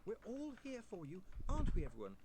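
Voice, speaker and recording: Man playing bossy young woman, very high lively voice, clacking keys behind. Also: noisy background. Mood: urgent. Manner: brisk, urgent, commanding. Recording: loud keyboard typing behind.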